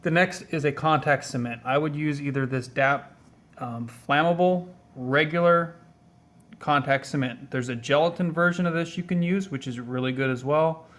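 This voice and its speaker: A man walking through craft materials in a casual, low-key tutorial, with a plain, even voice and a meandering delivery full of ums. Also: moderate pace. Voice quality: flat, even voice. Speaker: hobby tutorial maker.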